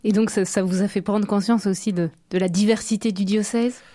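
A woman hosting a radio interview, asking questions in a gentle, measured way. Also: mid-range voice, steady tone, no echo. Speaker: radio host.

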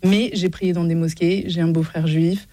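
A woman guest reflects earnestly on her experiences. Her voice is low and mellow. The recording is dry and close. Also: fairly even intonation, moderate pace, dry recording. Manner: thoughtful, earnest. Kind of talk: conversational reflection.

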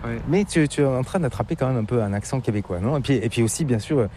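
A man from France with a French accent, interviewing casually, curious and joking, often halting mid-sentence. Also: medium pitch, animated, warm tone, a little hiss or hum.

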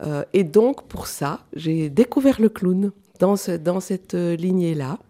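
Low, mellow-voiced Swiss-born woman, halting. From Switzerland. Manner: thoughtful, halting, many fillers. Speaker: guest, clown and actress. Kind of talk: radio interview. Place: radio studio.

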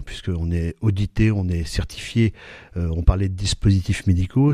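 Low, dark-voiced man, halting. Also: no echo, studio quality. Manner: hesitant, many fillers and restarts. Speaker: company executive, guest. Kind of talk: interview answers.

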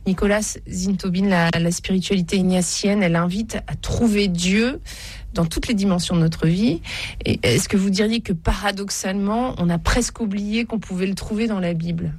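A female radio host presents and interviews on a religious programme. Her voice is husky, at a middling pitch, close on a studio microphone. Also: normal speed, darkish tone, no room sound.

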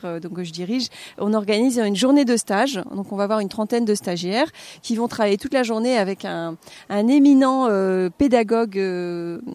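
A woman directing a choral festival, explaining the program in an interview with many pauses and fillers. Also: medium pitch, a little mellow. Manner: hesitant, many fillers and restarts. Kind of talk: radio interview.

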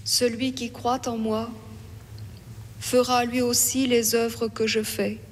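A woman reading scripture and prayer in a solemn, even-toned manner. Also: fairly high pitch, takes it slowly. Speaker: prayer reader. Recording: long echoing hall reverb.

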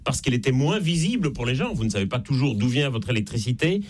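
Man, belgian french accent, author guest, measured explaining, mid pitch. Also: expressive, a little mellow. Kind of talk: radio interview.

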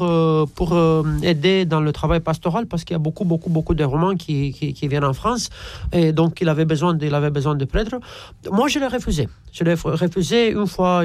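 A man, a priest, answers questions in a conversational way. His voice sits a little high, and he stumbles and repeats words while searching for the next one. The sound is dry. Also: a little mellow, dry recording. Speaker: Orthodox priest, interview guest.

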